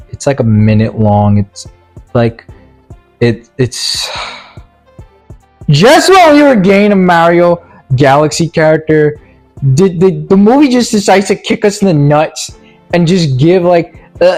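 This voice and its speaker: A man giving a casual, rambling movie review in a halting, stammering way, with a sarcastic, mock-earnest streak. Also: fairly high pitch, a little mellow. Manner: halting, stammering delivery with repeated words. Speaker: video reviewer.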